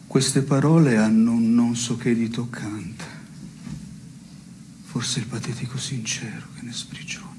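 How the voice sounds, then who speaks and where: A man's voice: mid-range voice, steady tone, a little slow, background noise, natural voice. An actor playing a needy, demoralized man in an absurdist stage dialogue, plaintive and wordy as he bickers with his female partner. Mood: deadpan.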